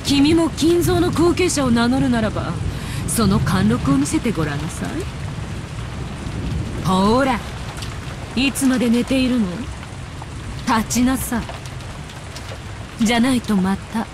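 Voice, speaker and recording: A woman's voice: a little high, lively intonation, normal speed, long pauses, noisy background, natural voice. An actress playing a haughty, sadistic demon woman in a Japanese visual novel, imperious and mocking in a theatrical way, with music behind her. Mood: imperious taunting. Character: haughty, sadistic female demon fighter, taunting and commanding.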